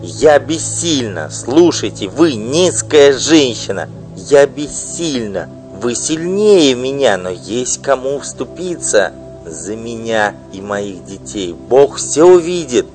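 Male reader playing a high, loud, furious wife in a storybook, faint music behind. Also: animated, measured pace. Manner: shrill, pleading and accusing. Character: indignant wronged wife, middle-aged woman. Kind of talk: audiobook reading.

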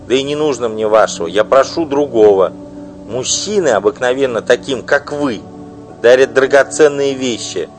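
A man voicing an indignant wronged wife who pleads and accuses in a high, loud voice for an audiobook. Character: indignant wronged wife, middle-aged woman. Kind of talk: audiobook reading.

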